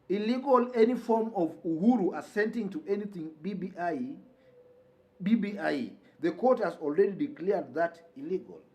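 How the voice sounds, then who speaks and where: A man with a Kenyan accent hosting political news commentary, speaking emphatically and repeating key phrases for weight. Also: high-pitched. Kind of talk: news commentary to camera or listeners.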